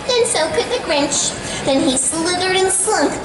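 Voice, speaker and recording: A woman's voice: extremely high pitch, lively intonation, measured pace. A woman hosting a live children's show, telling a rhyming story in a theatrical, playful sing-song way with encouraging exclamations. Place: live show in a public venue. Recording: loud music and crowd, roomy public-address sound. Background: loud music and audience noise.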